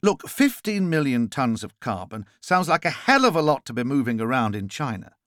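A man's voice: mid-range voice, sing-song, moderate pace, fairly bright tone, dry recording, studio quality. A man playing a reluctant, sceptical lawyer in an audiobook, polite and hesitant. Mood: puzzled, sceptical. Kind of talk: audiobook character dialogue.

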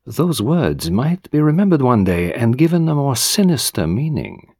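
A man voicing a composed, self-amused detective musing to himself in a measured murmur in an audiobook. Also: medium pitch, big pitch swings, darkish tone, slightly rough, no echo, clean studio sound, pitched above the performer's own voice. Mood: pensive.

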